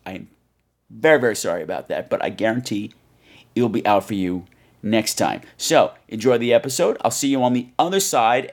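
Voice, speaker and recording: A man hosting a podcast in a casual, rambling, wry way, joking at his own expense and swearing mildly. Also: mid-range voice. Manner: chatty, self-deprecating, wry, uses mild swearing. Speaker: podcast host. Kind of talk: casual, rambling chat to listeners.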